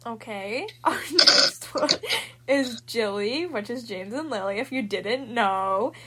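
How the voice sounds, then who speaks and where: A woman with a somewhat high voice hosts a casual podcast. She introduces segments and gives her opinions in an upbeat, easygoing way. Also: slow, background noise. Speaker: podcast host.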